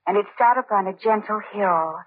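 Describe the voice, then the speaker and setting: Radio drama, vintage narrow sound: actress as troubled saloon woman, genteel and wistful, slightly husky. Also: mid-range voice, fairly quick, natural voice. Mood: wistful reminiscing. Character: troubled, faded woman saloon drinker, secretly a poisoner. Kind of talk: old-time radio drama acting. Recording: tinny, band-limited old radio recording.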